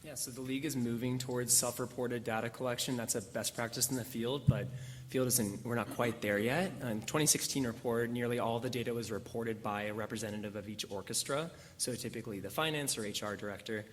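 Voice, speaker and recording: A man on a panel explaining how data was collected, in a measured way. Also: mid-range voice, fairly even intonation, a little hiss or hum. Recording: long hall reverb.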